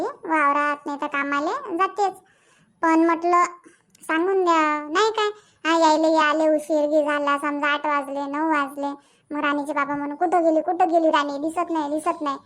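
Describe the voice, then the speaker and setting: A woman's voice: sits high, close to an everyday voice. A woman voicing a young, practical village mother in a cartoon comedy, chatty and colloquial, with a rural Vidarbha Marathi accent.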